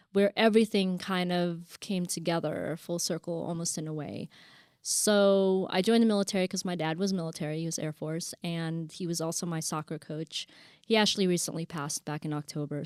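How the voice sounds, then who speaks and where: A woman born in the Philippines, telling her life story as an interview guest. Her voice is smooth, clear and slightly low, and she speaks softly in a wandering, candid way with plenty of fillers. The sound is dry and close. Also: no room sound. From Philippines, raised in Virginia, USA. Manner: soft-spoken, rambling, frequent fillers and self-corrections. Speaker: guest, business founder.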